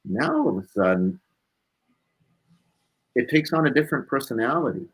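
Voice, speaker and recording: A man with a husky voice, a musician being interviewed, talking casually and reflectively and repeating points for emphasis. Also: medium pitch, animated, moderate pace.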